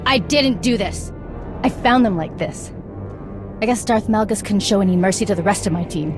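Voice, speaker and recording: An actress playing a defiant female Jedi who speaks in a bitter, accusing way, with loud game music behind. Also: medium pitch, moderate pace, natural voice. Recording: game soundtrack under voice.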